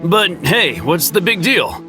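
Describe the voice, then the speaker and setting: A man's voice: sits high, big pitch swings, slow, pushed and bright, soft background music, exaggerated voice. A man voicing a young lawman with a carefree surface and a hot temper in an anime-style video game. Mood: breezy, dismissive.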